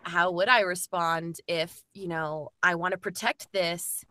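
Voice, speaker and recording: Bright-voiced woman, dry and close. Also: lowish voice, steady tone, a little slow, no echo. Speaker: voice actress, podcast guest. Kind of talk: casual chat.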